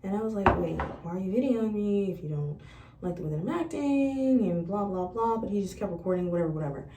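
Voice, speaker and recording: A woman's voice: medium pitch, quick pace, a little hiss or hum. A young woman in college vlogging, chatting casually about her life and acting out past conversations. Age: young adult. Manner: chatty, animated retelling of conversations. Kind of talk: casual storytelling to camera. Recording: echoey room, voice a bit far from mic.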